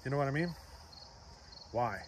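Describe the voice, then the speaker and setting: A man's voice: medium pitch, lively intonation, normal speed, background noise. A man in his forties hosting a chainsaw channel, explaining his results in a chatty way straight to a phone camera outdoors, often asking "you know what I mean?". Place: outdoors by trees, at a picnic table. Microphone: phone camera close up at arm's length.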